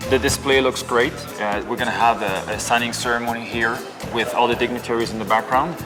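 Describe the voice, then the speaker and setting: A man working as a trade official, speaking upbeat and a bit halting with filler sounds. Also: medium pitch, steady tone. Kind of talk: interview and welcome remarks. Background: background music.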